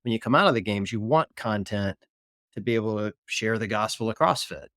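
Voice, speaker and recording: A man's voice: on the low side, lively intonation, a little fast, a bit bright, no echo, clean studio sound. A man hosting a podcast, chatting in a rambling, laid-back way with his guest. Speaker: podcast host. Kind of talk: casual chat.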